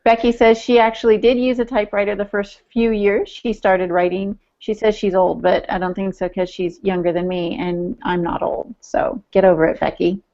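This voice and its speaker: A woman's voice: medium pitch, little pitch movement, normal speed, hardly any pauses, dark tone, dull audio. A woman co-hosting a podcast, relaying listener comments in casual chat with a playful, teasing manner.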